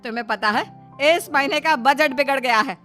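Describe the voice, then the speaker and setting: Woman acting a high-voiced, scolding Indian family woman. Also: moderate pace, bright, forceful tone, faint music behind. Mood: stern. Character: bickering, sarcastic daughters-in-law and scolding mother-in-law. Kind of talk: Hindi cartoon family story acting.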